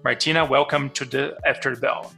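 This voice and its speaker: A man hosting an interview podcast, asking questions with halting restarts. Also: medium pitch, steady tone, creaky, bright, forceful tone, soft background music, low-quality recording. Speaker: podcast host.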